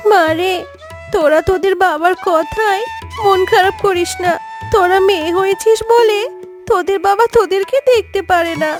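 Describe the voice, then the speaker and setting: A woman voicing a gentle, worried mother fox in a children's cartoon, with an earnest manner. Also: extremely high pitch, normal speed. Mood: consoling. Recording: background music under voice.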